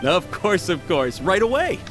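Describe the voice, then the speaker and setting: A man playing an eager, flattering tea merchant in an anime game, full of polished sales patter. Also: high voice, measured pace. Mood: coaxing, pleased. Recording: faint game music behind.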